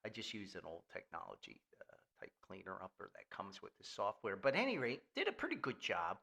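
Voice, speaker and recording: A man's voice: fairly low pitch, huge pitch swings, a little fast, creaky, sharp tone. An older man hosting a casual, rambling podcast in a folksy, jokey manner. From Paterson, New Jersey, US. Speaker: podcast host.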